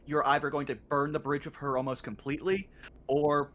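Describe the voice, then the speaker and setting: Brief: man, slightly high voice, eager fan explaining the plot casually. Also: little pitch movement, a bit bright, slight background noise. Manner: eager, explains the story with quick asides. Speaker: co-host who has played the game before. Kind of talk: casual chat about a game story.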